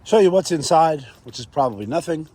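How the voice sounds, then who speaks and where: A man hosting his vlog with a hoarse, worn-out voice, chatting excitedly about a new trailer. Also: a little high, a little fast, heavy background noise. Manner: excited, enthusiastic, loose and chatty. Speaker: vlog host. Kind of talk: casual vlog narration. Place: outdoors at a trailer lot.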